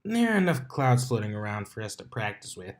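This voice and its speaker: A man's voice: fairly low pitch, big pitch swings, fairly quick. A man voicing a brash, bossy young female pegasus pony in a flippant way for a story reading. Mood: eager.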